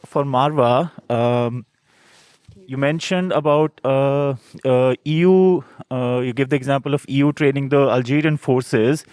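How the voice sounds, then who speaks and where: A man's voice: medium pitch, no room sound. A man in the audience asking the panel a question, hesitant and full of filler sounds.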